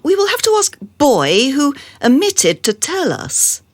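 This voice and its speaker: A woman playing a haughty, theatrical madam in a radio drama, grandiose and mock-sweet in her deliberate delivery. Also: sits high, huge pitch swings, dry recording, full character voice. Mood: gleeful, grand.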